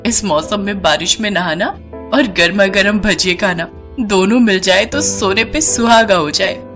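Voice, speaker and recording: A woman's voice: medium pitch, a little slow, fairly bright tone, faint music behind, lightly acted. A woman voicing a girlish, eager and dutiful young bride in a story. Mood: playful. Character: cheerful, girlish young bride who loves rain.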